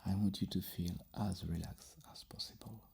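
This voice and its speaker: Soft whispery asmr guy playing therapist, low n creaky, kinda halting, reassuring. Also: steady tone. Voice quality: breathy, husky whisper. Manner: halting, repeats words, gently reassuring. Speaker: roleplay host acting as a psychologist. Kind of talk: soft-spoken ASMR roleplay, mostly whispered.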